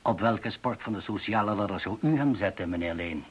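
An actor in a radio play playing an older professor, deliberate, scholarly and formal in his analysis. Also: lowish voice, expressive, slight background noise, natural voice. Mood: analytical, measured. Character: older male language professor, measured, analytical, precise. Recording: dull, muffled radio play recording.

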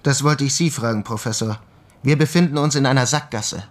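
A medium-pitched man's voice from an actor for an inquisitive investigator in a radio drama. He is matter-of-fact and probing, pressing his points in plain, businesslike speech. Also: brisk, natural voice. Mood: concerned, businesslike. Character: brisk, probing male investigator questioning scientists.

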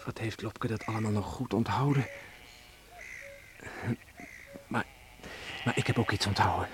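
A man's voice: fairly low pitch, brisk, natural voice. An actor playing a hearty but wistful seaman in a Dutch radio play, with an exclamatory, folksy, emotional way of talking. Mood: fond, eager. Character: hearty, wistful seaman home after years away. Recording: noisy old radio play recording.

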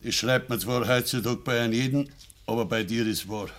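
Actor playing a gruff, brooding Bavarian farmer father. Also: medium pitch, brisk, a bit bright, close to an everyday voice. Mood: heavy, resigned. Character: stern, embittered old-fashioned farmer and father. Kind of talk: dialect radio play acting.

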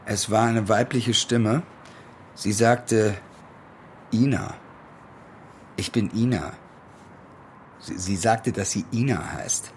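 A haunted young male soldier in a radio drama. His voice is low, and he speaks haltingly, circling back on words as he confides. Ambient noise sits clearly behind him. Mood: uneasy, insistent. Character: traumatized young soldier, haunted and sleepless after deployment.

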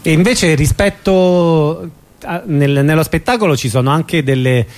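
A man's voice: on the high side, a little slow. A man interviewing informally on radio, feeling for his words as he puts questions.

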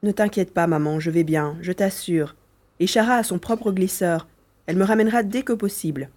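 An earnest young boy, voiced by a woman, speaks with a mellow, rounded tone. The manner is caring and a little worried, in the style of an audio drama. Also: lowish voice, moderate pace, lightly acted. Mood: reassuring. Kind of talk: French audio drama acting.

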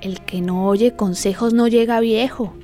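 A blunt, indignant Colombian evictee acted by a woman speaks in a slightly high voice with theatrical bite. Music plays under this radio play scene. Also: steady tone, measured pace, mellow, close to an everyday voice. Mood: defiant.

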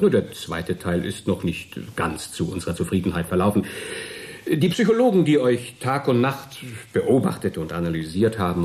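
An actor playing a jovial, smug military colonel in a radio play, patronising and soothing in officialese. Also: male voice, low voice, darkish tone. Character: jovial, smug military colonel addressing his retired astronauts. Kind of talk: German radio play acting.

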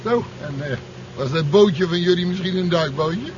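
An actor playing a cheeky, rebellious truck driver in a radio drama, with a coarse, slangy, mocking way of talking. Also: male voice, normal speed, natural voice. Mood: gruff, chatty. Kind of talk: 1970s radio drama acting. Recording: dull, noisy 1970s radio recording, engine rumble. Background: vehicle engine noise.

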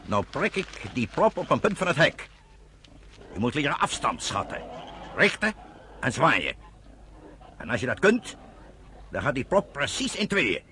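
A man's voice: mid-range voice, huge pitch swings, a little slow, pauses often, pushed and bright, heavily acted. An actor playing a stern, proud farmer father in a Dutch radio drama, speaking in an emphatic, commanding way. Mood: stern, instructing. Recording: noisy old radio play recording.

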